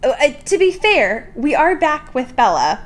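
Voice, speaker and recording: A woman's voice: high-pitched, very animated, moderate pace. A woman of twenty-three co-hosting a podcast in casual book chat, informal and wry, poking fun at herself and swearing casually. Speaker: podcast co-host. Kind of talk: casual chatty book discussion.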